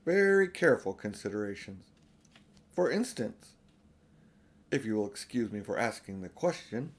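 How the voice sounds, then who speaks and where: A man reading a storybook, voicing an old professor who is serious, courteous, deliberate and formal. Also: mid-range voice.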